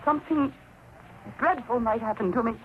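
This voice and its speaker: An actress playing a bitter, desperate, haunted daughter in a 1940s radio drama, intense and dramatic. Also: female voice, on the high side, normal speed, lightly acted. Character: bitter, desperate adult daughter haunted by her father's death. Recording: muffled, noisy 1940s radio recording.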